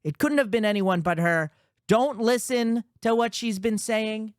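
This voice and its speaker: A woman's voice: fairly high pitch, unhurried, darkish tone, no room sound, studio quality, natural voice. An actress playing an exasperated, no-nonsense female security officer in a sci-fi radio drama. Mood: exasperated, insistent.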